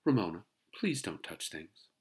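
A man's voice: low-pitched, sing-song, unhurried, slightly rough, exaggerated voice. A man voicing a strict, exasperated mother of a young girl in a children's storybook, firm and scolding.

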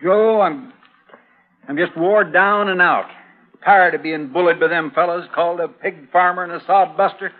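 A man's voice: sits high, steady tone, normal speed, natural voice. A man playing a beaten-down, bitter homesteader who grumbles plainly in an old radio western. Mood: weary, bitter. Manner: plain-spoken, resigned grumbling. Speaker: radio drama actor. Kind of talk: 1950s radio western acting. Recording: tinny old radio broadcast.

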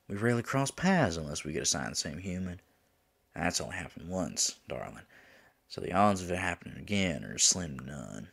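A man playing a teasing, flirtatious demon lover in an intimate ASMR roleplay, with a drawling manner that drops into breathy murmurs. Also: sits low, sing-song, a little fast, fairly bright tone, exaggerated voice. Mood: playful, flirtatious. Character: teasing, flirtatious male demon lover, smug yet smitten.

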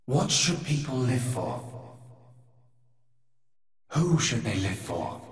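An actor playing a grave, world-weary creator in a video game, speaking in a measured, solemn, formal way. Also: male voice, medium pitch. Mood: sombre, reflective. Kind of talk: dramatic video game cutscene acting. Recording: echoey, cavernous reverb, distant.

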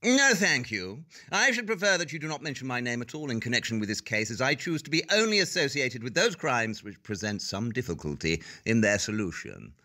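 Man acting arrogant detective, bright forceful voice, very animated pitch, clipped formal delivery, audio play. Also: a little fast, few pauses. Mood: haughty.

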